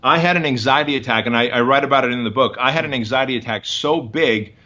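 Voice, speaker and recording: A man's voice: medium pitch, fast, sharp tone, highs cut off. A man in his forties, a guest in an interview, telling his story in emphatic, punchy short phrases. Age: 40s.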